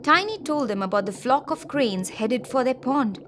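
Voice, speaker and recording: A woman's voice: high voice, expressive, moderate pace, background noise. A woman narrating a scripted children's story as a storyteller.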